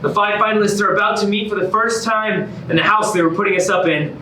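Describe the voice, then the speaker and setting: An echoey, distant stage recording of a male actor as an earnest, theatrical man in a musical comedy, pleading and eager. His voice sits high with a bright, forceful edge. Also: fast, slight background noise. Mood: excited. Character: Oliver, eager, self-improved man desperate to win back his ex. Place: staged reading in a reverberant room. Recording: echoey room, distant microphone.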